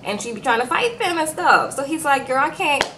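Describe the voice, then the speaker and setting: Young woman chatting thru a show recap, lively and chatty, kinda high voice. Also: a little fast, slight background noise, poor audio. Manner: animated, chatty, tags lines with "okay?". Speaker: reality show recap host. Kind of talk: casual recap chat.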